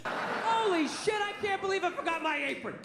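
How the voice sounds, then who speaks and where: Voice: very high-pitched, animated, moderate pace. A speaker delivering comedic lines in a noisy live recording with a crowd behind. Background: crowd noise and laughter.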